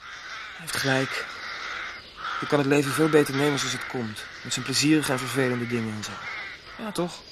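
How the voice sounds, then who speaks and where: A man's voice: medium pitch, normal speed, pauses often, background noise, lightly acted. An actor playing an evasive young village man in a radio play, hesitant and defensive as he stalls. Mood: placating.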